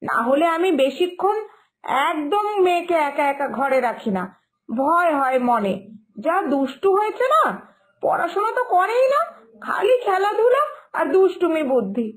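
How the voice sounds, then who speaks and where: A woman's voice: extremely high pitch, big pitch swings, normal speed, poor audio. A woman voicing an anxious village mother chatting as a neighbour in a cartoon moral story, fretting as she talks.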